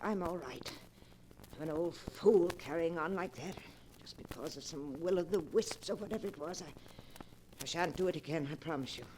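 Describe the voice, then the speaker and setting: An actress playing a stern, dismissive old aunt in 1940s radio drama style. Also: female voice, low voice, close to an everyday voice. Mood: stubborn. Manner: imperious, brisk, dismissive. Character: stern, strong-willed old aunt, scornful of ghosts. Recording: hissy, clicking 1940s radio recording.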